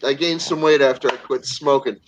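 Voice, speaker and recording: A man's voice: mid-range voice, steady tone, fairly quick, a little hiss or hum. A man in his forties joking and laughing on a group video call. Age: 40s. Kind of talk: casual chat.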